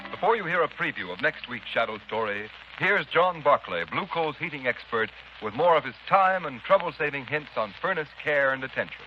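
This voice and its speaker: A man's voice: medium pitch, expressive, a little fast, few pauses, soft background music. Formal 1930s radio announcer, man. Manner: crisp, formal. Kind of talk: scripted announcing. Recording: muffled hissy 1930s radio broadcast.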